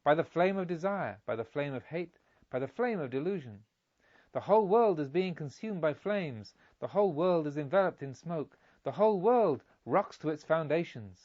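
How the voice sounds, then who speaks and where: A man voicing a wise Indian monk in a storybook, teaching deliberately and emphatically by parable. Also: on the high side, animated, darkish tone, lo-fi sound, close to an everyday voice. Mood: solemn, emphatic. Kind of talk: solemn storybook dialogue.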